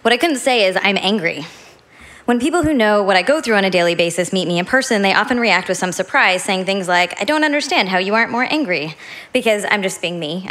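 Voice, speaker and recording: A woman giving a prepared talk in a measured, deliberate way, heard in a played clip. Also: medium pitch, bright, forceful tone. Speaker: speaker in a played video.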